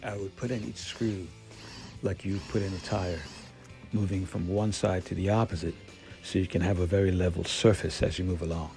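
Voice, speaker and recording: Male DIY vlog narrator, gravelly low register, recounting installation steps. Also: fairly even intonation, normal speed, mellow. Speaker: DIY van build vlogger. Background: background music.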